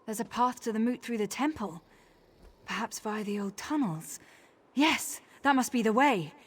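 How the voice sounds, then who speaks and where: An actress voicing a proper, indignant orc high priestess in a fantasy game, formal, earnest and emphatic. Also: female voice, normal speed, slightly rough. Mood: determined.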